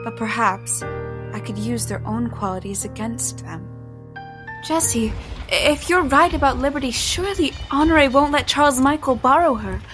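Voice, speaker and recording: A determined young heroine, earnest and rather high in pitch. She speaks in audio drama style, with clear music underneath. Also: animated, moderate pace. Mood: urgent, determined. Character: earnest, determined young woman infiltrating a secret society. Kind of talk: audio drama acting and first-person narration.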